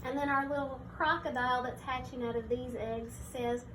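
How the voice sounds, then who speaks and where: A woman teacher reading a picture book aloud to young children, pausing to explain things simply and point them out. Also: high voice, steady tone, background noise.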